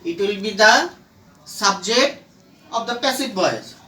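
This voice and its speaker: Grammar instructor, mid-pitched, very unhurried scripted delivery, deliberate phrasing, roomy and distant recording. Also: lively intonation, pushed and bright. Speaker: grammar teacher. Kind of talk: scripted English grammar lesson. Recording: echoey room, distant from mic.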